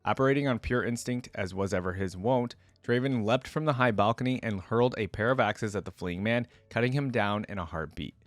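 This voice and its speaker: A man's voice: fairly low pitch, fairly quick, hardly any pauses, no echo. A man doing casual reaction commentary, reading text aloud and adding his own remarks. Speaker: host of a gaming reaction channel.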